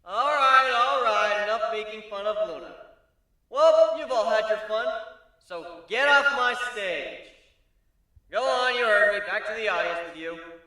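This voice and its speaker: A man's voice: high-pitched, unhurried, sharp tone, heavy reverb, distant mic, voice pushed up, rough recording. A man voicing a cocky, teasing male griffin showman in a dramatic story reading. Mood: teasing, bossy. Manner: teasing, brash.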